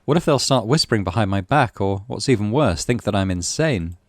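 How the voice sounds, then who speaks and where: A man doing a let's play, reading a visual novel in a dramatic, animated way over game music. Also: fairly low pitch, fairly quick, dry recording. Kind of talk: let's play, expressive story narration.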